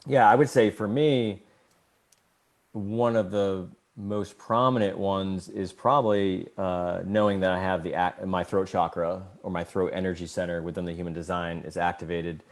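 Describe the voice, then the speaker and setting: A man co-hosting a podcast, talking earnestly in a casual spiritual chat, rambling a little and getting animated as he tells stories. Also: low-pitched, moderate pace. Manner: earnest, rambling, gets animated when telling a story. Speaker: podcast co-host.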